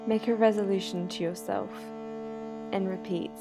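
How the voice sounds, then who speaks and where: A woman guiding a sleep meditation in a soothing, unhurried, gently instructive manner. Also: medium pitch, fairly even intonation. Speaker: yoga nidra meditation guide. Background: soft sound healing instrumental music.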